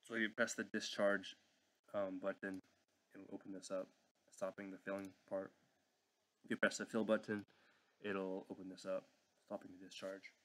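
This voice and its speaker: A young man giving a casual, unscripted software walkthrough at his desk at home, hesitant and apologetic in manner. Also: low-pitched, level tone, moderate pace, pauses often, poor audio. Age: young adult. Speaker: learner presenting a tutorial. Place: indoor room at home.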